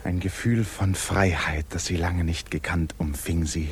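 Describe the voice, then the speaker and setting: An actor as the grave, sympathetic narrator of a literary radio play, speaking in a measured way. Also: male voice, deep voice, steady tone, over music. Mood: calm.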